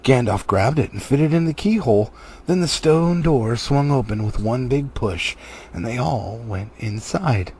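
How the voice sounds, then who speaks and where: Man, expressive audiobook narration, gravelly fry, animated pitch. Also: a little slow, slight background noise. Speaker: audiobook reader.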